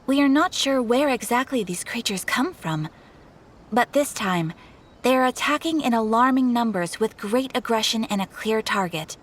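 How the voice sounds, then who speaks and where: A woman's voice: on the high side, expressive, normal speed, a little hiss or hum, close to an everyday voice. A woman playing a composed female guild receptionist in a game, giving a formal, courteous briefing.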